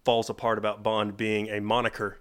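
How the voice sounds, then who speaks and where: A man co-hosting a podcast in casual chat, excitable, laughing often and swearing now and then. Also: lowish voice, brisk. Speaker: podcast co-host.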